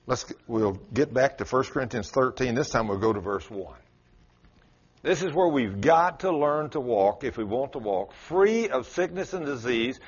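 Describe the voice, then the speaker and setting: Texan preacher with a swinging, husky voice. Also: male voice, dull audio. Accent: South Texas. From South Texas. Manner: folksy, emphatic, swings pitch up for stress. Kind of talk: sermon.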